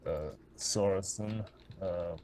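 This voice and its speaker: A laconic man chatting casually in low-key fashion as a tabletop roleplaying player. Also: takes it slowly, dark tone.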